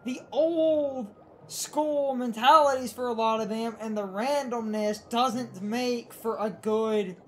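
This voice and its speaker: A woman's voice: on the high side, slow, fairly bright tone, slight background noise. A woman hosting a gaming video, giving casual game commentary alongside a co-host.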